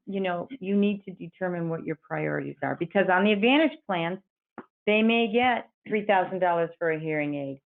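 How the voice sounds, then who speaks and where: A woman, an insurance advisor, patiently explaining Medicare options in an interview and quoting her clients. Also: mid-range voice. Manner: patient, explanatory, quotes clients in their own words. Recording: tinny phone-like line.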